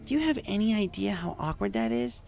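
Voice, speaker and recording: A young female cartoon-style pony with heavy creak in a raised voice, performed by a man. She is brash and casual, grumbling her way through a pony tale with a defensive edge. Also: high voice, huge pitch swings, fairly quick, darkish tone, soft background music, pitched above the performer's own voice, full character voice. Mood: exasperated.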